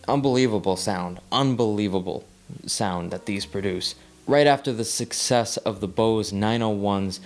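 Rambling young man, slightly low voice. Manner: rambling, with frequent ums and repeated words; gestures with his hands while seated. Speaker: audio gear reviewer. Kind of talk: casual talking-head review. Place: small living room with record player.

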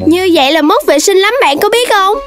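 Fussy, very high cartoon rabbit child. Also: female voice, lively intonation, fairly quick. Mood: chiding. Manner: fussy, chiding. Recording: loud music behind the voice.

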